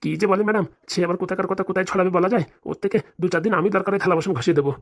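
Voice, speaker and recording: Man acting fawning, quick-fire cartoon village servant. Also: high voice. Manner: fawning, colloquial rustic speech. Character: deferential, flattering household servant of a village madam. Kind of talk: comic cartoon dialogue.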